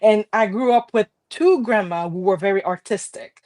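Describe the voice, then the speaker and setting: A woman's voice: mid-range voice, moderate pace, darkish tone. A middle-aged woman from Haiti with a French Caribbean accent, chatting as a guest and laughing often. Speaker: guest crafter. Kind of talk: casual interview chat.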